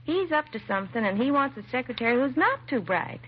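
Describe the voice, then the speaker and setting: Timid high-voiced American secretary, vintage radio. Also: female voice, sing-song, fast. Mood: self-deprecating, nervous. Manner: hesitant, nervous, apologetic, trailing and restarting. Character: timid, self-doubting young secretary reporting her boss missing. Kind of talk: 1950s radio drama acting. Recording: muffled vintage radio recording, faint hiss.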